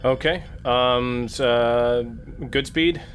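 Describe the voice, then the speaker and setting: Halting male player, noisy recording. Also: mid-range voice, fairly bright tone. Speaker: player in a tabletop role-playing game. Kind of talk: casual chat. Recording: noticeable background noise.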